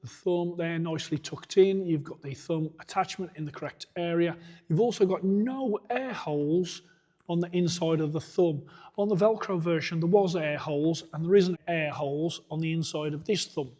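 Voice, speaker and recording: Man, boxing coach, hoarse from shouting, mellow tone, plain methodical review delivery. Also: a little high, moderate pace. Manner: methodical, plain-spoken, point by point. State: hoarse, losing his voice. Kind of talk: product review walkthrough.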